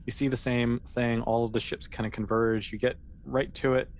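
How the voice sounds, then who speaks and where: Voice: mid-range voice, flat delivery, moderate pace, slight background noise. A game master narrating a tabletop game off the cuff, explaining things in a loose, conversational way full of asides.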